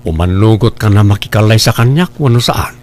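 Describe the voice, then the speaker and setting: A man's voice: fairly low pitch, animated, brisk, a little mellow, a little hiss or hum, close to an everyday voice. A man with an Ilocano accent playing an impatient, pushy, complaining suitor in a comedy drama. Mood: exasperated. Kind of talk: comedy radio-style drama acting.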